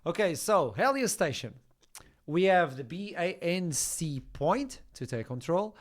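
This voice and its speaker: A man from Portugal doing excitable play-by-play commentary on an esports match. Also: a little high, measured pace. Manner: excitable, builds to shouts at big plays. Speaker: esports caster.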